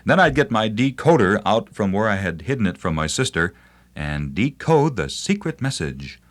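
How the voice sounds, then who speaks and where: A man's voice: fairly low pitch, very animated, normal speed. A man presenting a scripted promotional narration about old radio, with a wry, nostalgic manner.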